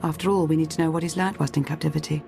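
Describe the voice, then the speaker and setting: An actress playing a composed, firm intelligence officer in a video game, speaking in a measured, formal, controlled way. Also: female voice, deep voice, steady tone, vocal fry, darkish tone. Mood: grave, composed. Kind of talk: video game cutscene acting. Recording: game music behind the voice.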